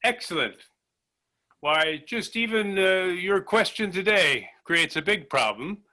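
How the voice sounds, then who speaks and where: A man playing a pompous, eccentric, over-cheerful job candidate with theatrical, drawn-out delivery in an improvised comedy sketch. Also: sits high, lively intonation, sharp tone, heavily acted. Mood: pompous, earnest. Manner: theatrical, emphatic, drawn-out enunciation.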